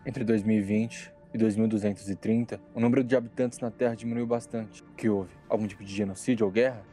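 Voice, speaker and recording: A man's voice: fairly low pitch, fairly even intonation, quick pace, mellow, soft background music, lightly acted. A man playing a grave, pragmatic scientist in an audio drama, doubtful, firm and pressing.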